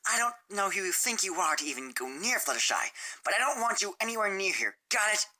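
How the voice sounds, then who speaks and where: A woman voicing a brash, aggressive, protective teenage tomboy in a fan story reading, forceful and confrontational. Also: medium pitch, very animated, a little fast, voice pushed up. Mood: threatening.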